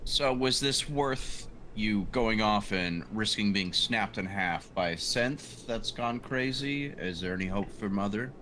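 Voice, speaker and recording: A man's voice: lowish voice, unhurried, hardly any pauses, bright, forceful tone, slight background noise. A man playing a tabletop game, speaking in character as a stern, challenging sergeant. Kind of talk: in-character roleplay as a gruff sergeant.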